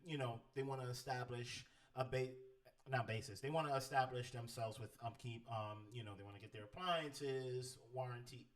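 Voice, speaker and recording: A man's voice: medium pitch, steady tone, normal speed, far from the mic. A man hosting a casual interview in an easygoing, agreeable manner, chuckling at times. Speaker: interviewer, host.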